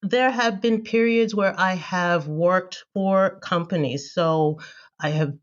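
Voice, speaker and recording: A middle-aged American woman from Philadelphia, a business English coach chatting as a podcast guest in a measured, affirming way over a call. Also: lowish voice, dull audio. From Philadelphia, United States. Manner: affirming, measured, often agreeing. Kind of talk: casual interview chat. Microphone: remote call.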